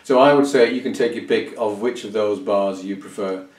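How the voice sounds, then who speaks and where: An older man giving an informal instructional music lesson as a fiddle teacher, speaking with hesitant pauses mid-phrase. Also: on the low side, a little mellow. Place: small indoor music room at home. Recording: roomy, distant microphone sound.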